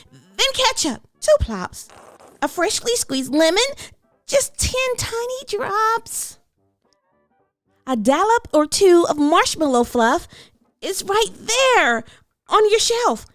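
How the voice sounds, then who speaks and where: Little girl character, acted by a woman, very high voice, eager, bossy, polite, storybook read-aloud, swooping intonation. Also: a bit bright, no echo, studio quality, exaggerated voice. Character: tiny, chirpy, bossy hungry little girl.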